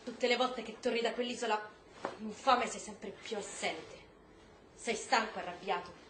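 A woman's voice: a little high, measured pace, a bit bright. An actress playing a principled, defiant wife and mother in a stage-style drama, pleading and accusing with emphasis. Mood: hurt, reproachful. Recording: roomy echo, faint noise.

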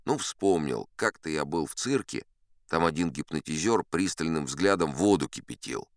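A man's voice: a bass voice, lively intonation, moderate pace, no echo, studio quality. An actor playing a boastful old sea captain, telling his tall tales in a folksy, self-assured, conversational way. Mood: wry, unhurried. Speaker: audiobook performer narrating in character. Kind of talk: dramatic first-person storytelling.

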